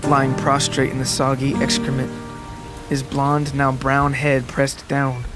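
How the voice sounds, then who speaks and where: A man reading a scripted literary story as a dramatic narrator, with deliberate, descriptive phrasing. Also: medium pitch, monotone. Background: music and rain sounds.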